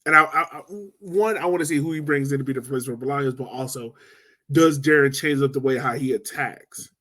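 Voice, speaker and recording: A man's voice: medium pitch, quick pace, pushed and bright. A man hosting a casual sports podcast, often repeating words as he thinks aloud. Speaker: podcast host.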